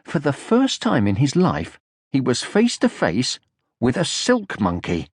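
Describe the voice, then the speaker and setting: A man narrating a children's audiobook from a script in an expressive storytelling style. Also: medium pitch, a little slow, no echo, clean studio sound.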